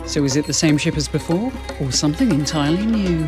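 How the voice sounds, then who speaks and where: A woman with a slightly low voice hosts a video essay. Her delivery is dry and wry, poking fun at herself as she addresses the viewer. Soft music plays behind her. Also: lively intonation, fast. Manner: dry, self-deprecating humour. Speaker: video host, fiction writer.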